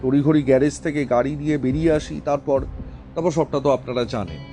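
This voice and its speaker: A man's voice: mid-range voice, fast, dark tone, soft background music, lightly acted. An actor playing a worried middle-aged scientist and family man in an audio drama, recounting things earnestly and carefully.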